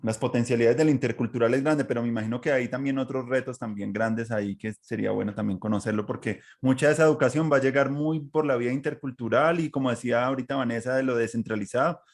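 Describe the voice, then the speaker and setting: A man's voice: mid-range voice, fairly even intonation, fast, hardly any pauses. A man hosting a reflective podcast conversation in long, winding sentences with repeated words. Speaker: podcast host.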